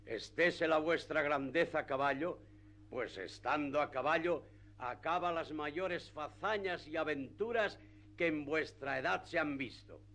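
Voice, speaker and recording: An actor playing a pompous, flattering village priest in a declamatory radio theatre style. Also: male voice, a little high, measured pace. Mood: insistent, pompous. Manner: declamatory, grandiloquent. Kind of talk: radio theatre acting of classic literature.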